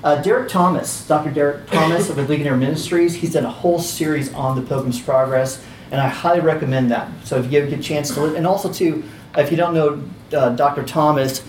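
Brief: male teacher with a slightly high, squeaky voice, rambling lecture, recorded from across an echoey room. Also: a little fast, a little hiss or hum. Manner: chatty, many fillers and restarts. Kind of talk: casual classroom lecture. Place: classroom. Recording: roomy, distant, faint ambience.

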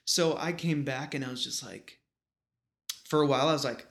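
A man in his thirties with an American accent talks in a relaxed, rambling storyteller's style. His mid-pitched voice is full of vocal fry. The phrasing is informal and slangy, like a guest swapping memories. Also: moderate pace. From small-town western New York, United States. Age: 30s. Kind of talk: casual conversational storytelling.

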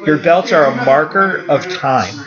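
A man's voice: on the high side, lively intonation, a little slow, dull audio, a crowd in the background. A man in his forties talking in a casual podcast conversation, blunt and opinionated, swearing now and then. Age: 40s. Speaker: karate school owner, guest.

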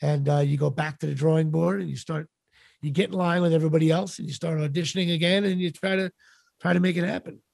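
Raspy, high-voiced New man, gravelly. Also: fairly even intonation, darkish tone, dry recording, clean studio sound. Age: middle-aged. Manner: self-deprecating, wry, halting. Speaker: podcast guest, actor and comedian. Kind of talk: casual interview storytelling.

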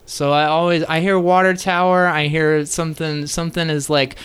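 A man's voice: a little high, level tone, normal speed, fairly bright tone, smooth. A man hosting a casual interview, asking curious questions and often restarting his phrases.

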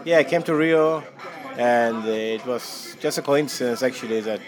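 A man's voice: mid-range voice, moderate pace. A man from Chile who runs a hostel, answering interview questions casually with many fillers and restarts. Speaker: hostel owner.

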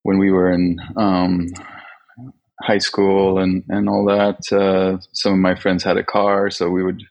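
A man from Sweden answering interview questions as a guest. He talks slowly and haltingly, with many pauses and restarts. His voice is very deep and gravelly. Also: monotone, darkish tone, dull audio. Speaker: guest filmmaker.

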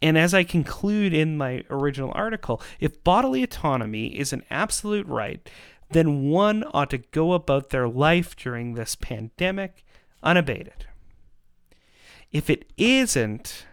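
A man giving a persuasive monologue in an emphatic, rhetorical manner, with dramatic pauses and repetition. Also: fairly high pitch, sing-song, smooth, dry recording, clean studio sound. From Canada. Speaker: podcast host and pro-life activist.

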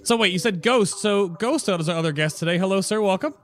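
A man's voice: high-pitched, fairly quick, fairly bright tone, slight background noise. A man hosting a casual gaming show in a chatty way, with joking asides and self-interruptions. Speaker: podcast and stream host. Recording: music playing behind the voice. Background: background music.

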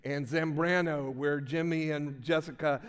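A man's voice: a little high, steady tone, measured pace, clean tone. An older man preaching a sermon, telling a folksy personal story with frequent restarts and fillers. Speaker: pastor. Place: church sanctuary. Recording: long hall reverb.

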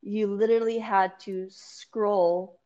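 A woman jiu-jitsu coach with a loud, carrying voice, chatting casually, laughing often and acting out what people said. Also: mid-range voice, slow, lo-fi sound. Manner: animated, laughs often, acts out quoted dialogue. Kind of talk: casual podcast chat.

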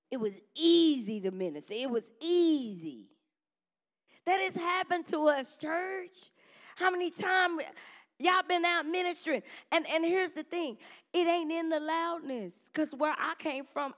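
A woman preaching a sermon to her congregation in a conversational style, with quick call-and-response asides to the church. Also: high voice, expressive. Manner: says she has a strong voice.

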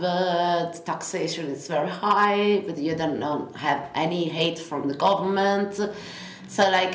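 A young woman from Italy, speaking English with an Italian accent as an interview guest, rambling through lists with many fillers. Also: on the low side. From Italy, Piedmont. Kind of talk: casual interview chat. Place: apartment in Bordeaux. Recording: roomy with faint noise.

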